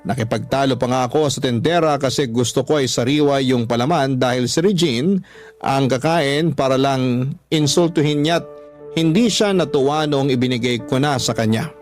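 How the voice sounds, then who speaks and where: Mid-pitched male radio storyteller. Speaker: radio storyteller reading a listener's letter. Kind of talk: scripted first-person dramatic narration.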